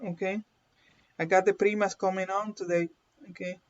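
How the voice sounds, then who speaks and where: A woman's voice: mid-range voice, flat delivery, normal speed, slight background noise. A woman with a Puerto Rican accent, hosting a live card reading in a chatty way and often checking in with her viewers. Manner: chatty, keeps checking in with "okay?", laughs often. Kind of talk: casual livestream chat and reading. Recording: dull lo-fi stream audio.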